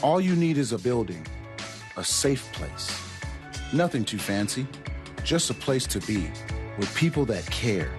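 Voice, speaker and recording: Mid-pitched man in a charity spot, music behind. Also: sing-song, unhurried, long pauses. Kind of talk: scripted public service announcement.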